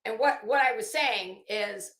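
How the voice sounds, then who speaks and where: A woman's voice: a little high, unhurried, a bit bright, roomy sound. A woman giving opinionated, casual live commentary as a host, blunt, combative and emphatic, with free swearing.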